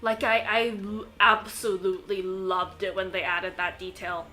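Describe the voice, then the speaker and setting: Bright-voiced woman streaming a video game. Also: mid-range voice, a little slow, faint music behind. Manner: giggly, enthusiastic, excitable. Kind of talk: casual gameplay commentary, chatting.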